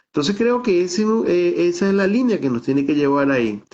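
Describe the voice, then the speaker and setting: A man's voice: high-pitched, big pitch swings, moderate pace, darkish tone. A middle-aged man from the Venezuelan Andes, with a Táchira accent, speaking as a guest on an online call. He explains at length in a thoughtful way, restarts often and keeps adding "¿no?" as he talks. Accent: Venezuelan Andean (Táchira). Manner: thoughtful, many restarts and fillers, asks "¿no?". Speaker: theater director and guest speaker. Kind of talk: online talk, reflective conversational explanation. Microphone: video call. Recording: dull lo-fi call audio.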